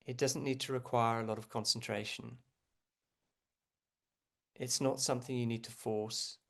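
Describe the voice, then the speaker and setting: A man leading a guided meditation as a teacher, speaking gently and without hurry, with frequent pauses. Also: medium pitch, fairly even intonation, vocal fry.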